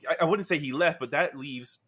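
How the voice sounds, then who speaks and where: A man's voice: fairly high pitch, a little fast, a bit bright, slight background noise. A man co-hosting a podcast in casual chat, rambling, stumbling over his words and laughing easily. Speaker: podcast co-host. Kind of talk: casual film-discussion chat.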